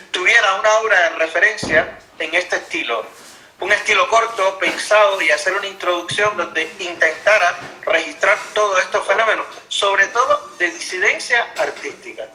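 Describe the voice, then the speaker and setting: A man, an author answering interview questions in a measured, explanatory way with frequent restarts. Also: high-pitched, pushed and bright, roomy sound, poor audio. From Cuba.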